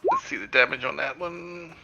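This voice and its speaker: A man's voice: on the high side, moderate pace, gravelly, dull audio, over music. A man playing a tabletop game over voice chat, talking casually with many fillers and hesitations. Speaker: tabletop player.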